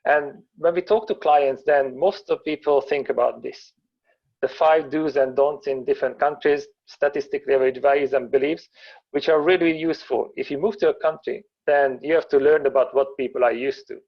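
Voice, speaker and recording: A man from Hungary, giving a webinar presentation in a steady, explanatory way over a video call. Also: fairly high pitch, moderate pace. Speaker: guest presenter. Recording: dull, muffled webinar audio.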